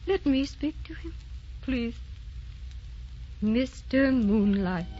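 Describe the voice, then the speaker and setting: An actress playing a gentle, wistful young wife with a tender, soothing manner in a sentimental radio drama. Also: female voice, fairly high pitch, animated, slow pace, a little hiss or hum. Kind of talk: sentimental old-fashioned radio drama acting. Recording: muffled old radio recording with music.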